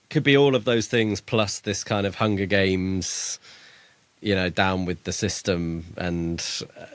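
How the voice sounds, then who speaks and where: Lower-voiced guy on a podcast mic, dry sound, lots of um and yeah. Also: fairly even intonation, slow pace, pauses often, fairly bright tone, dry recording. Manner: hesitant, lots of "um" and "yeah", laughs easily. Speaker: podcast co-host. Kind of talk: casual book discussion.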